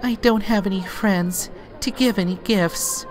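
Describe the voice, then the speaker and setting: A man's voice: high-pitched, a little slow, a little hiss or hum, voice pushed up. A man voicing a small, sad filly pony in a storybook parody, timid and pleading. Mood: tearful.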